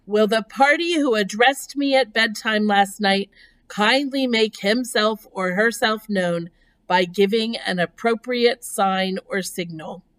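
A woman voicing an earnest, polite, excitable young male pig in a storybook. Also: mid-range voice, takes it slowly. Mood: formal, determined. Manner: formal, pleading, excitable.

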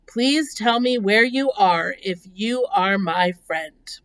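A woman voicing an earnest, polite, excitable young male pig in a storybook. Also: medium pitch, slow. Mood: formal, determined. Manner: formal, pleading, excitable.

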